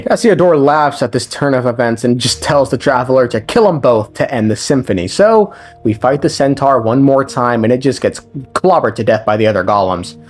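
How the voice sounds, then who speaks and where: A man's voice: a little high, darkish tone. A man giving a casual, conversational recap of a video game story as a lore commentator, with slang and swearing. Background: game music.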